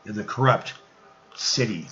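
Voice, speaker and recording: A man's voice: lowish voice, expressive, unhurried, bright, forceful tone, roomy sound, highs cut off, slight background noise. A man narrating an old scripture story in a solemn, archaic storytelling manner, as an amateur audiobook reading.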